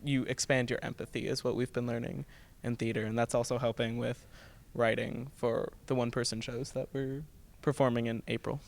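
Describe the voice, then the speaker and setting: A man's voice: medium pitch, little pitch movement, fairly quick, creaky, few pauses. A young man, a student actor, giving an earnest, reflective interview answer. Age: young adult.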